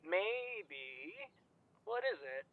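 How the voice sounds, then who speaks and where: Actor as gravelly, groggy man on phone. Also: high-pitched, sing-song, very slow, dry recording, full character voice. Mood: groggy, wry. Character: groggy young man, grudging, answering the phone. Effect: telephone filter. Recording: thin, narrow phone-line sound.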